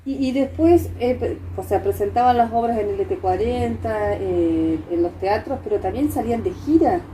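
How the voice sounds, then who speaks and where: A woman with an Argentine accent asks questions in a conversational interview and often affirms briefly. Her voice is extremely dark and mellow, with faint noise behind it. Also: fairly high pitch, normal speed, rough recording. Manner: frequent short affirming interjections.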